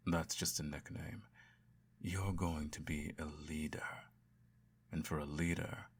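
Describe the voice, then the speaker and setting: A man with an extremely low, gravelly voice, husky and a little breathy. He talks in a calm, measured murmur for a scripted bedtime roleplay and often sinks into a whisper. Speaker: emperor parent in an audio roleplay. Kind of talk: scripted audio roleplay, soft bedtime storytelling.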